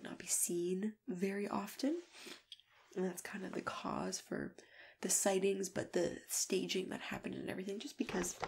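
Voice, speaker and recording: A soft-spoken woman in an ASMR consultant roleplay, with a lowish-to-middling pitch and plenty of fry. She drifts between breathy murmur and whisper, gentle and unhurried. The mic is close, with clear noise of typing and clicks around her voice. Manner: gentle, unhurried, halting, with small agreeing hums. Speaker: ASMR roleplayer acting as a monster matchmaking consultant. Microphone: close microphone.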